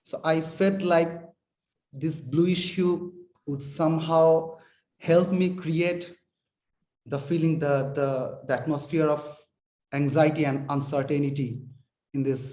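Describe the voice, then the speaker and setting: Slow, mellow man in a roomy hall. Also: fairly high pitch, fairly even intonation. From Bangladesh. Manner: halting, repeats words while searching for phrasing. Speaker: film director. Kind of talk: post-screening Q&A answers. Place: cinema hall.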